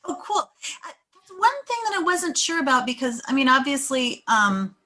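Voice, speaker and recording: A woman's voice: high voice, very animated, normal speed, sharp tone. A woman screenwriter speaking casually and effusively on a video call, full of appreciation. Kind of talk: casual group chat.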